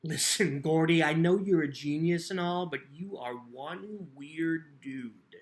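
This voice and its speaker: A man's voice: a little high, slow pace. A man playing an earnest, lonely teenage boy who tells his own story in plainspoken, cheeky words. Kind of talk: first-person dramatic book reading.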